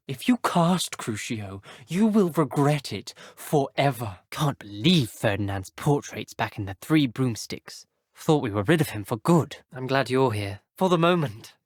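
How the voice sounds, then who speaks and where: A man's voice: medium pitch, expressive, measured pace, darkish tone, no room sound, studio quality. A young man with a British accent voicing an earnest, guarded teenage wizard schoolboy in a video game, speaking in clipped, formal phrases. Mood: worried, earnest. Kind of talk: video game character dialogue.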